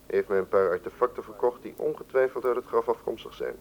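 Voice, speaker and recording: An actor in a radio drama playing a shrewd, suave museum curator, measured and condescending. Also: male voice, fairly low pitch, muffled recording, slight background noise, natural voice. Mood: businesslike. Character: shrewd, suave Russian male museum curator, quietly threatening.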